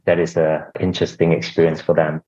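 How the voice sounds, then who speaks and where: A man's voice: very deep voice, completely flat delivery, a little fast, mellow. A middle-aged man from Singapore, a podcast guest, explaining his organisation in a conversational way and often adding "you know". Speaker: guest, healthcare executive. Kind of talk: conversational interview answers. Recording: dull lo-fi audio.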